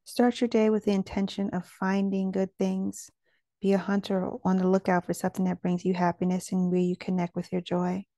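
Woman, meditation guide, soft mellow tone, gentle delivery, noticeable vocal fry, dry close sound. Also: medium pitch, level tone, fairly quick, no echo.

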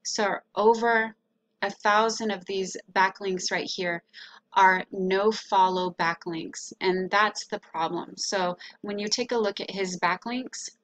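A woman presenting a website audit as a consultant, talking through a screen share in a casual, explanatory way with hesitant pauses. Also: medium pitch, fairly even intonation, fairly bright tone, poor audio.

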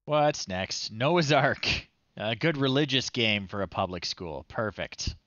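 A middle-aged man giving casual, low-key live commentary as he plays retro games, often muttering to himself. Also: expressive, a little slow, fairly bright tone, no room sound, dull audio. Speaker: livestream host playing retro games. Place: small room at home, indoors.

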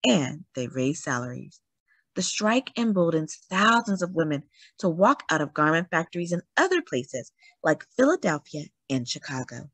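A woman reading a picture book aloud in a dramatic, emphatic storytelling manner with deliberate pauses. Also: on the low side, fairly bright tone, dry recording. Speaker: children's read-aloud story host. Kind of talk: expressive picture book narration.

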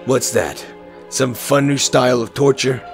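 A man's voice: mid-range voice, a little slow, music in the background, natural voice. A man playing a stubborn, sardonic captive Jedi who talks in a challenging way, in a fan audio drama. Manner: challenging, sarcastic.

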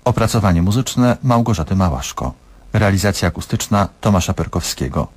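A male radio announcer reads scripted credits. His voice is low and husky, and the delivery is formal. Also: lively intonation, a little fast, a little mellow.

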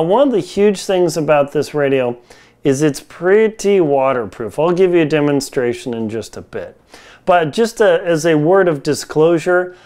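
A man in his forties with a fairly high, very clean and smooth voice talks straight to camera as a reviewer. His delivery is informal and slangy, like casual conversation. He is recorded on a lapel mic indoors in a home kitchen. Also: a little mellow. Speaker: product reviewer.

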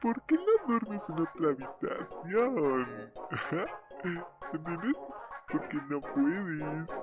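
A man's voice: on the high side, lively intonation, very slow, muffled recording, music in the background. A man voicing a goofy, joking cartoon dog in a comic dub. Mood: playful.

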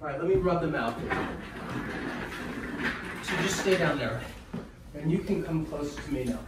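A fairly high-voiced man talks someone through a hands-on treatment. He gives short instructions, checks in and reassures. He sounds a little way from the mic, with clear noise behind him. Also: animated, moderate pace. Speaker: chiropractor and video host. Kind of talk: casual talk with a patient during treatment. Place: small indoor treatment room. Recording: roomy, distant mic with clear background noise.

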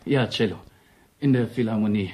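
A man's voice: on the low side, moderate pace, darkish tone, husky. A man acting a courteous, melancholy musician with a formal, hesitant manner in a radio play. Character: courteous, melancholy cellist, a bereaved son. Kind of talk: German radio play dialogue.

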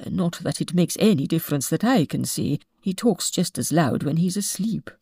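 A woman's voice: fairly low pitch, expressive, normal speed, warm tone, slightly rough, no echo, studio quality. A woman voicing a fussy, jumpy elderly king in a storybook reading. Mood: fretful.

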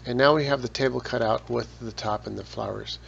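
A man talking through a photo editing tutorial step by step, relaxed, with casual asides. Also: medium pitch, fairly quick, creaky. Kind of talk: screen-recorded software tutorial. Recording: dull lo-fi voice with loud noise.

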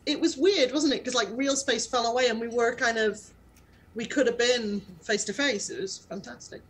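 A woman's voice: on the high side, normal speed, slightly rough. A young adult woman speaking casually as an actor in an interview, telling stories with quoted asides over a video call. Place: small indoor room with blue backdrop.

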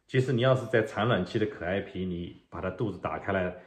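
A middle-aged man teaching a fishing technique in an unhurried way, explaining step by step with pauses, filmed at home indoors. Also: low voice. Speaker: fishing channel host. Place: home living room, indoors. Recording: roomy echo.

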